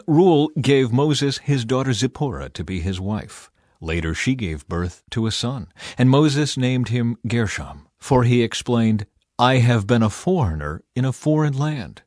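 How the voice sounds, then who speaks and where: A man giving a measured, solemn, scripted dramatic narration as an audio Bible reader. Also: medium pitch, a little mellow, no room sound, clean studio sound. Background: faint music.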